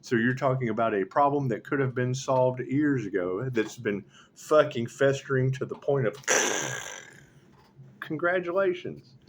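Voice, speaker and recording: A man's voice: medium pitch, animated, measured pace, a little hiss or hum. A man rambling sarcastically as a tarot reader, swearing often and laughing between remarks. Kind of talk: rambling monologue.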